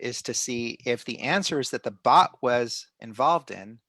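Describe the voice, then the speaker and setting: A middle-aged man hosting a live online stream, explaining the project in a relaxed way with many restarts and fillers. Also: medium pitch, no room sound. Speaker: host of a live citizen-science stream.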